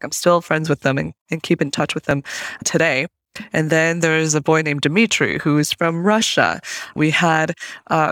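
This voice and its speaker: A woman's voice: sits low, a bit bright, no room sound. Chatty woman guest, dry close sound. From United States (Colorado). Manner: chatty, light laughs between thoughts. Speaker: podcast guest, entrepreneur. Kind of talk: casual interview chat.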